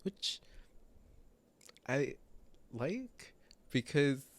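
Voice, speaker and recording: A man's voice: medium pitch, big pitch swings, extremely slow. A man giving casual reaction commentary as a video host, thinking aloud in a hesitant way.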